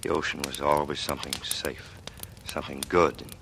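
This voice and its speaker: Very deep, grim avenger, noisy radio. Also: male voice, steady tone, moderate pace, gravelly. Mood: anguished, bitter. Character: grim, worried male friend bent on avenging murders. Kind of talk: 1940s radio drama acting. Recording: noisy old radio broadcast recording.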